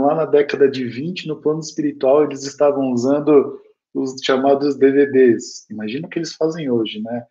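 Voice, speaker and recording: A man's voice: fairly high pitch, dark tone. Brazilian man lecturing, dull lo-fi sound. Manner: explanatory, conversational. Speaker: spiritist lecturer. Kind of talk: informal spoken lecture. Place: small home room with bookshelves. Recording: dull lo-fi video call audio.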